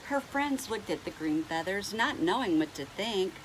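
A middle-aged woman reading a picture book aloud to young children, expressively, drawing out words and pausing to ask them questions. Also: medium pitch, lively intonation, a little hiss or hum, low-quality recording. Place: indoors at home on a couch.

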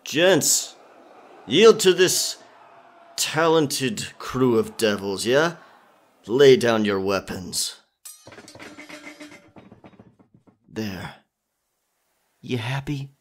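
A man acting out a defiant, roguish, flirtatious captured pirate captain in a husky-voiced ASMR roleplay, with wry, theatrical phrasing. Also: mid-range voice, lively intonation, extremely slow, long pauses. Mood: commanding, bitter. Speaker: audio roleplay performer. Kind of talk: ASMR roleplay acting, one-sided dialogue.

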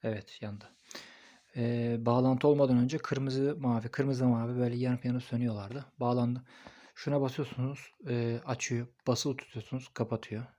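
A man's voice: medium pitch, fairly even intonation, normal speed, warm tone. A man giving a casual, hands-on product review, thinking aloud informally as he records on a phone. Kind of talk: casual hands-on unboxing and installation commentary.

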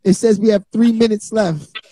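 A man's voice: high-pitched, moderate pace, dark tone, dry recording. Laid-back man hosting a live interview. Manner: joking, laid-back banter. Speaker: host. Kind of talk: casual live-stream interview. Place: small home studio indoors. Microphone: handheld studio mic on a stand.

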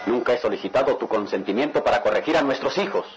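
A man's voice: mid-range voice, animated, fairly quick, close to an everyday voice, poor audio. Casting: male actor as hot-tempered patriarch, radionovela. Commanding, widely swinging delivery over music. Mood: stern. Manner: commanding, interrogating, declamatory. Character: stern, hot-tempered family father. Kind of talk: melodramatic radio drama acting.

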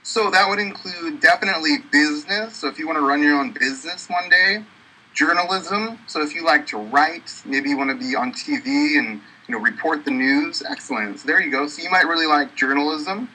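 A man's voice: a little high, fairly quick, bright, forceful tone, slightly rough, poor audio. A man representing a university, talking eagerly with many fillers over a video call from an indoor office. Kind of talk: enthusiastic promotional talk. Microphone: webcam on video call.

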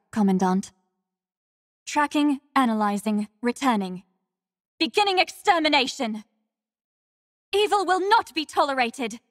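A woman voicing a devout young female warrior in an anime-style game, gentle and solemn, speaking formally and with measured earnestness. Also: high voice, no echo, clean studio sound. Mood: composed, gently chiding, then fierce, commanding.

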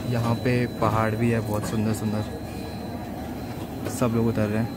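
A man filming a travel vlog on a moving train, excitedly pointing out the views to his viewers. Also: on the low side, normal speed. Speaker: travel vlogger. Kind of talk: casual travel vlog commentary. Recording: loud train and outdoor noise.

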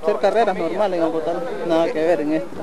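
A man's voice: a little high, steady tone, fast talker. A young man, a taxi driver, answering reporters outdoors and defensively insisting he knew nothing. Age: young adult. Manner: defensive, protesting innocence. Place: outdoors in a crowd at a checkpoint. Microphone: handheld reporter mics. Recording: loud crowd around.

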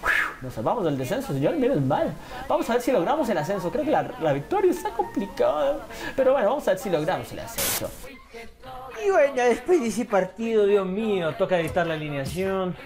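A young man giving casual, animated commentary on his football video game and reading viewer comments, often calling his audience "señores". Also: high voice, fairly quick, a crowd in the background. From Colombia. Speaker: gaming YouTuber.

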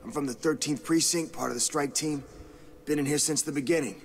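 An actor playing a hesitant, fumbling police officer trapped in a building, in a game cutscene. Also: male voice, on the high side, a little fast, gravelly, slight background noise. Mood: nervous.

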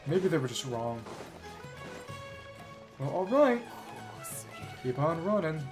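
Female game streamer, low register, animated delivery, thinking out loud over clear background game music. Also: slow, poor audio. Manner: musing aloud, switching between reading and reacting. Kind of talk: live gameplay commentary, reading dialogue aloud.

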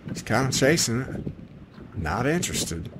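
A man's voice: medium pitch, big pitch swings, unhurried. Folksy man over noisy outdoor background. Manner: enthusiastic, folksy exclamations. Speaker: fishing vlogger. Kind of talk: casual running commentary while fishing. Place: outdoors on a small open boat on a lake. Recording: clear outdoor wind and boat noise.

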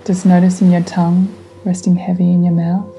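A woman guiding a meditation in a gentle, soothing, unhurried way, speaking in calm instructions. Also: lowish voice. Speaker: meditation guide. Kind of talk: guided meditation. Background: relaxing music.

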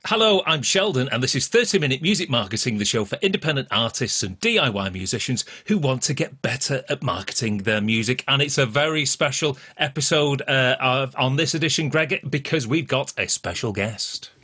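A man's voice: medium pitch, animated, brisk, few pauses, sharp tone, husky. A middle-aged man hosting a podcast, interviewing in a casual way over a video call. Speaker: podcast host. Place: indoors, small home room.

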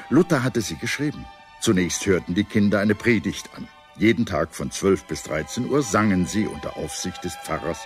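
A man with a low voice delivers scripted documentary narration. He tells it in a measured, articulate way, like an audiobook storyteller. Music plays clearly behind his voice. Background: background music.

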